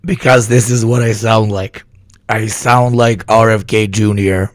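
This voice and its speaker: A sick, hoarse man streaming live news commentary, talking bluntly and with plenty of swearing. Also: on the low side, steady tone, measured pace, no room sound, studio quality. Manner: profane, blunt, rambling. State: hoarse from illness.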